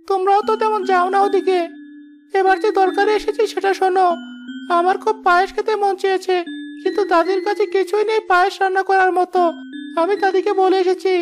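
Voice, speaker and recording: A performer voicing an eager, pleading little village boy in an animated moral story, earnest and coaxing. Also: extremely high pitch. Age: child character.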